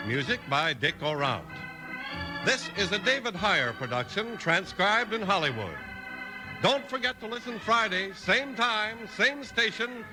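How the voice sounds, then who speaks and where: A man's voice: sits high, rough recording. An American man announcing a 1950s radio show with its credits and sponsor read. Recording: old 1950s radio transcription.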